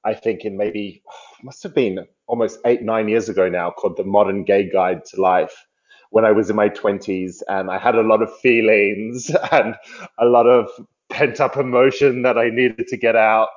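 An Australian man in his thirties, answering interview questions in an articulate, measured way over a remote call. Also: on the low side, fairly even intonation, dull audio. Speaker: podcast guest. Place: at home in London.

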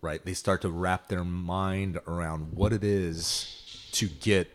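A man's voice: fairly even intonation, slow, a little hiss or hum. A middle-aged man hosting a podcast, talking casually with many fillers and restarts. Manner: rambling, hesitant, laughs into high pitch. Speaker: podcast host. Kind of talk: casual chat.

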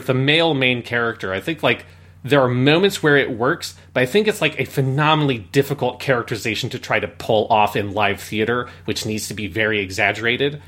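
A man's voice: medium pitch, a bit bright. A man talking as a podcast host in a casual review discussion, wordy and analytical.

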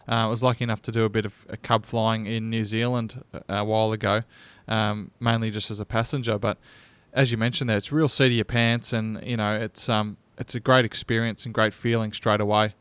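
A man's voice: on the low side, level tone, fairly quick, fairly bright tone, clear, resonant tone, no room sound. A man hosting an interview, asking questions in a friendly, loose way and calling his guest "mate". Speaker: podcast host.

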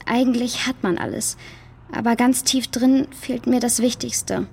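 A woman's voice: a little high, fairly even intonation, measured pace, creaky, a little mellow, slightly rough, exaggerated voice. A woman playing a sad, timid young girl in a children's audio drama.

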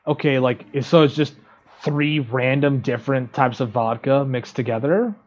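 A man's voice: medium pitch, normal speed, a little mellow, highs cut off. A man co-hosting a casual podcast chat, laid-back and hesitant as he weighs his pick. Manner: laid-back, uses "dude" and "like", hesitant when choosing. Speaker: podcast co-host.

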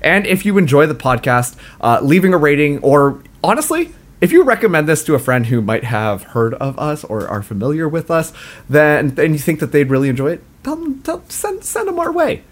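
A man in his late twenties hosts a casual podcast. His pitch swoops up and down as he talks, and he laughs often and pokes fun at himself. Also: normal speed. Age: late 20s. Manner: laughs often, animated and self-mocking. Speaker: podcast co-host.

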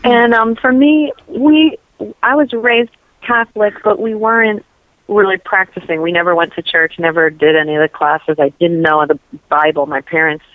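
A woman's voice: medium pitch, fairly even intonation, moderate pace, no echo. A woman from New York and New Jersey, speaking as an interview guest, who talks earnestly and rambles with many "you know" fillers. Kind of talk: casual testimony interview. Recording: dry tinny phone-like line.

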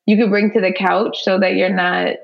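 A woman with a raspy voice in a casual chat, giving friendly advice and laughing often. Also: mid-range voice, little pitch movement, normal speed, muffled recording.

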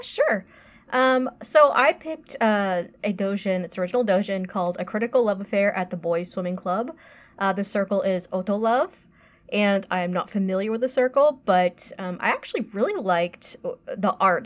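A woman co-hosting a podcast, giving a casual review in halting, hesitant phrases. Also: mid-range voice.

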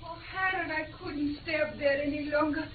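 A woman acting a desperate, distraught lady in an old radio melodrama, crying out at an extremely high pitch. The tinny recording is echoey. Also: fairly even intonation, moderate pace, slight background noise, lightly acted. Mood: lonely, pleading. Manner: crying out, wailing.

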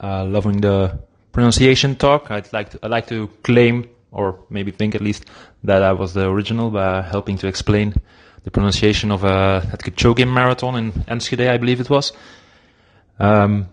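Young Belgian man, Dutch native with an accent, lowish voice, easygoing casual voice memo. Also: steady tone, normal speed. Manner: easygoing, hesitant with fillers. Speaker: caller leaving a voice memo.

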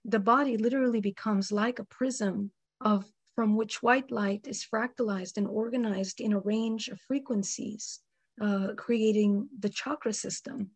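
A woman, hoarse from a cold, speaking slowly and deliberately with many pauses in a spiritual panel discussion. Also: medium pitch, fairly even intonation, no echo. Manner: slow, measured, many ums. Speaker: panel guest.

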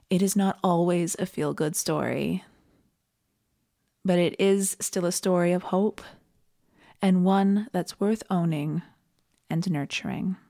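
A woman's voice: on the low side, vocal fry, warm tone. Reflective Canadian woman preaching. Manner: earnest, measured, reflective. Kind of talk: reflective sermon, scripted.

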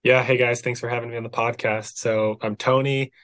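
Flat-toned young man, dry sound. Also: mid-range voice, a little fast, no echo. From New Jersey. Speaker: podcast guest. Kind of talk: casual interview chat.

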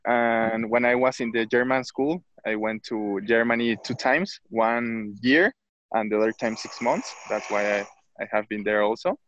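A footballer from Mexico, speaking English with a Mexican Spanish accent, hesitant and searching for words. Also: male voice, medium pitch, normal speed, fairly bright tone, no room sound, dull audio. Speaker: football player. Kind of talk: interview.

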